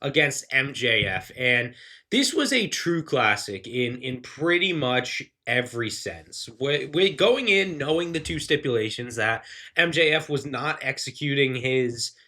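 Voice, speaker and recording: A man's voice: medium pitch, animated, unhurried, sharp tone. A man reviewing in a casual, analytical way, his voice a little phlegmy from allergies. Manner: analytical, earnest, talks in loose run-on sentences. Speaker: wrestling reviewer, show host.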